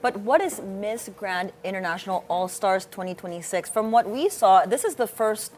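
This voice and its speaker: A woman's voice: medium pitch, normal speed, a little mellow, a little hiss or hum. Upbeat woman interviewing a guest. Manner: upbeat, asks questions, frequent affirming hums. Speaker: talk show co-host. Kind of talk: talk show interviewing. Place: TV studio.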